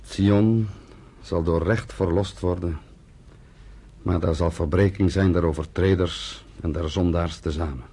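An actor playing a fiery, bitter underground fighter leader in a radio play, declamatory and defiant. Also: male voice, deep voice, fairly even intonation, a little slow, warm tone, slightly rough, heavily acted. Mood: solemn, prophetic. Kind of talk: Dutch radio play acting.

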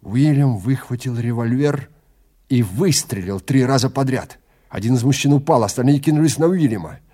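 A man's voice: mid-range voice, lively intonation, moderate pace, mellow, husky. A man narrating a scripted story in a dramatic style.

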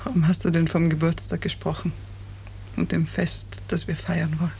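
A woman's voice: deep voice, flat delivery, normal speed, creaky, dark tone. Sick woman in old 50s german radio play, bitter, wistful, pleading for truth, bit of hiss. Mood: bitter, reproachful. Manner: intense, reproachful, wistful. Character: seriously ill woman patient, bitter, searching, wanting the truth. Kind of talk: 1950s German radio drama acting. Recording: noisy early 1950s radio recording.

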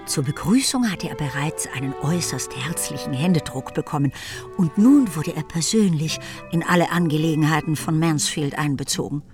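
Expressive female narrator over music. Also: low voice, normal speed. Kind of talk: literary scripted narration in a radio play.

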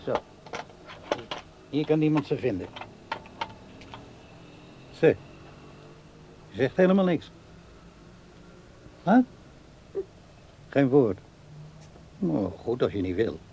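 An actor in a radio play as a gently teasing man humouring a young child in a playful, coaxing way. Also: mid-range voice, very animated, slow, long pauses, muffled recording, slight background noise.

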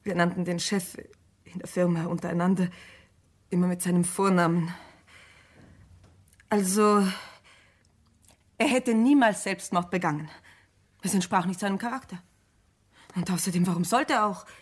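A woman's voice: fairly low pitch, normal speed, long pauses, slightly rough. An actress playing a loyal, nervous private secretary in a German radio crime play, polite and precise in her speech. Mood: insistent.

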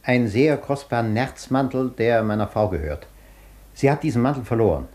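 An actor in a German radio play, playing an agitated, imperious gentleman doctor with a formal, demanding, impatient manner. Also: medium pitch, a little fast, natural voice. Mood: discreet, businesslike.

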